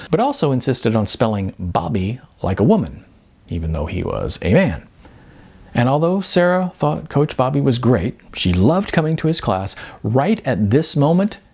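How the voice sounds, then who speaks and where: Lively, expressive male audiobook narrator. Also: lowish voice, breathy edge. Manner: wry, emphatic, sardonic. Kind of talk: expressive comic fiction narration.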